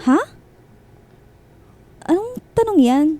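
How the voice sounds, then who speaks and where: A playful, affectionate young Filipino woman in a radio drama. Her voice is high yet soft-toned, and she talks casually and teasingly. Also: sing-song, takes it slowly, heavily acted. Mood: bright, curious.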